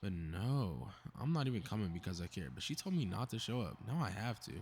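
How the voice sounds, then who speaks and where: A man's voice: on the low side, big pitch swings, fairly quick, darkish tone, slight background noise. A man playing a cocky, snarky superhero in a scripted audio roleplay, muttering to himself with sarcastic exasperation. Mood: smug. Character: cocky, snarky, meddling invisible male superhero grumbling to himself.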